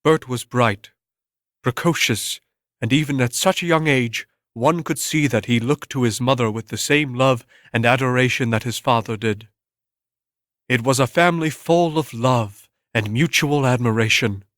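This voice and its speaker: A man reads a story as an audiobook narrator, with a measured and deliberate delivery. His voice sits at a medium pitch. The recording is close and dry. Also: a bit bright, no echo. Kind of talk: scripted dramatic story narration.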